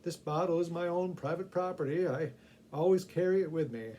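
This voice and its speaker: A man's voice: fairly high pitch, fairly quick, mellow, lo-fi sound, slight background noise, voice pushed up, lightly acted. A man voicing a very orthodox minister in a storybook tale, courteous, formal and solemnly earnest.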